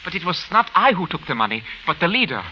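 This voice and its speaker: A man's voice: sits high, big pitch swings, fast talker. A male actor playing an anxious, earnest wronged man in old radio drama, speaking formally and pleading with careful phrasing. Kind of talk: 1940s-50s radio drama acting. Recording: muffled old radio recording, faint noise.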